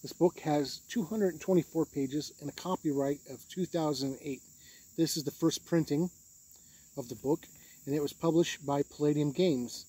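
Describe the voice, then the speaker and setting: A man hosting a tabletop game review video, explaining rules conversationally in a husky voice. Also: a little high, normal speed, dark tone, poor audio.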